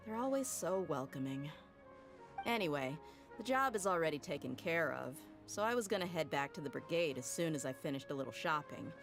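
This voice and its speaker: An actress playing a confident, easygoing and loyal female desert mercenary with a relaxed, self-assured delivery. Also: deep voice, brisk, faint music behind.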